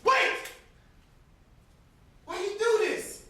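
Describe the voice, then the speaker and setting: Male actor as agitated, enraged young avenger in a stage drama; forceful, animated delivery caught from far off in a boomy room. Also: slow, very echoey, heavily acted. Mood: outraged. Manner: shouting, confrontational, agitated. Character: enraged young avenger confronting his family's killer. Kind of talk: amateur stage play acting. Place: indoor stage performance, recorded from a distance.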